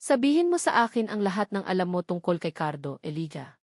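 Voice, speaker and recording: A woman's voice: fairly low pitch, very animated, fairly quick, no room sound, studio quality. A woman voicing a determined, wounded policewoman and mother in a romantic audio drama, with earnest delivery. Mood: resolute.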